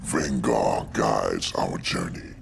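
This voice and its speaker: A man's voice: a bass voice, lively intonation, a little hiss or hum, exaggerated voice. A man voicing a huge, solemn, spiritual alien warrior in a video game, growling and portentous. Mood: solemn, reverent. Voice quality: gravelly, growling. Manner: slow, portentous. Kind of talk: video game cutscene acting. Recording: music behind the voice.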